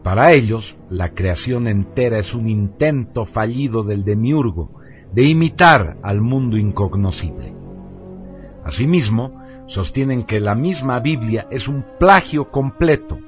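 A man reading a scripted radio narration in a solemn, measured and emphatic manner. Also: fairly low pitch, lively intonation. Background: music.

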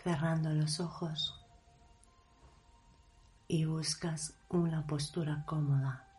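A woman leading a guided meditation in a hushed, measured delivery, leaving long pauses between short phrases. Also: low voice, level tone, soft background music. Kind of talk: guided meditation, slow soothing narration.

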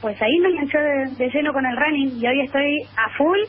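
An Argentine woman in her thirties with a high, bright voice and an accent that blends Argentine and Spain Spanish. She talks eagerly and at length, in lively bursts. The line sounds muffled and lo-fi, with faint noise behind her. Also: a little fast. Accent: Argentine with Spanish (Spain) mix. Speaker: guest columnist. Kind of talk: phone interview.

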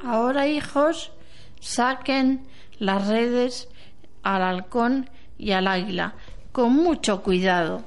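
Woman playing a slow, wise old grandmother. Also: fairly high pitch, faint music behind. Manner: slow, measured, instructing. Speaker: amateur radio drama actor. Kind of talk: amateur radio play acting.

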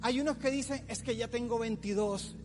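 Pastor juvenil de voz muy aguda. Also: male voice, extremely high pitch, moderate pace, darkish tone, slight background noise. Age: 40s. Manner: colloquial, teasing, acts out imagined complainers. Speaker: youth pastor. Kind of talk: informal youth sermon. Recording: long hall reverb, music underneath.

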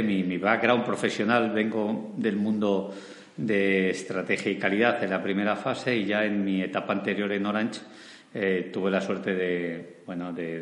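A man's voice: fairly low pitch, a bit bright. A middle-aged man with an accent from Spain speaks enthusiastically and conversationally as an interview guest. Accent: Spanish, from Spain. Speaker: interview guest, customer experience executive and teacher. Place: large event room, indoors. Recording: echoey, reverberant hall.

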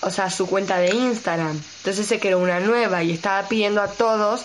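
A woman's voice: mid-range voice, fairly quick, noisy background. A young woman co-hosting a radio show, chatting casually in a slangy, rambling, jokey way. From Argentina.

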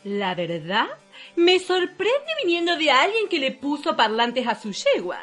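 An Argentine woman playing a snooty, melodramatic high-society madame in a comic radio play, speaking in an affected way. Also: huge pitch swings, measured pace, a bit bright, faint music behind, full character voice. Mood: disdainful.

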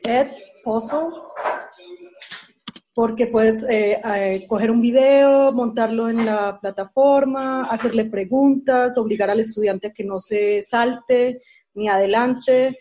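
A woman teacher, explaining a tool clearly on a video call. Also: fairly high pitch, steady tone, slow, mellow, a little hiss or hum.

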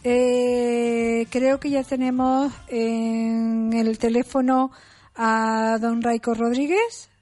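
A woman hosting a casual radio interview, her voice still worn from illness, halting with many fillers. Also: a little high, level tone, a little mellow, soft background music. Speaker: radio host.

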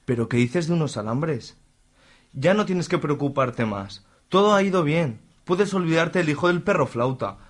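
A man's voice: a little high, lively intonation, moderate pace. A man playing a conservative middle-aged father in a comic radio soap, cajoling and breezy in a falsely sweet way. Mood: soothing.

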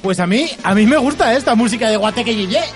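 A man's voice: high-pitched, quick pace, music in the background. A man acting a flustered, enthusiastic party organiser in a comic radio drama. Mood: chatty. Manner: animated, exclamatory.

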